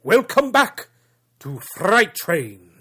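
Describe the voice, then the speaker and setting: A man's voice: high-pitched, very animated, pushed and bright, husky, heavily acted. Man acting an ominous horror radio narrator. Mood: ominous, suspenseful. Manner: dramatic, drawn-out, macabre delivery. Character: ominous male horror anthology radio host. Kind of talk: theatrical old-time radio horror narration.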